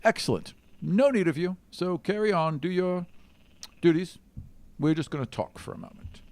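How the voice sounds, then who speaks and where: A man's voice: sits high, animated, a little mellow, dry recording, pitched above the performer's own voice. Man acting a self-assured, formal dwarf seeker. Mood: brisk, dismissive. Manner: measured, formal, faintly condescending.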